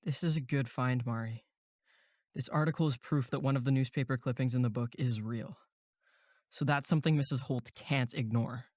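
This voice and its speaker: Actress as sardonic young camp counselor, low voice, deadpan, measured, dry recording, audio drama. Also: female voice, dry recording. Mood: approving.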